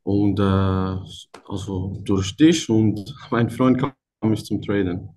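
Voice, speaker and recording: Male guest, late 20s, from Thailand, low and hesitant over a video call. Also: fairly even intonation, slow. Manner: hesitant, many fillers, soft laughs. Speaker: interview guest, trader. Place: indoor room. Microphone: laptop camera, video call.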